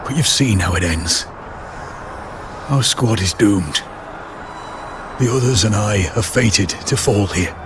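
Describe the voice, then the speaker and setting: An actor voicing a solemn, resigned squad leader in a game, speaking earnestly. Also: male voice, lowish voice, slow, pauses often, noisy background. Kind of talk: game voice acting.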